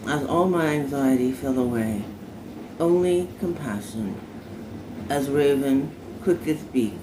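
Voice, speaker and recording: A man reciting poetry in a dramatic spoken word style, in deliberate short phrases with emphatic stresses. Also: mid-range voice. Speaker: poet reciting own poem. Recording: clearly noisy recording, ambient background.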